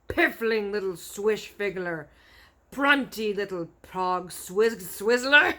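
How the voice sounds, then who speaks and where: A huge, brutish storybook giant acted out by a woman reader bellows slowly and forcefully. The delivery is loud, bullying and crude, with drawn-out words and mangled grammar. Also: lively intonation. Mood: furious, bullying. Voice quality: booming, forceful shouting.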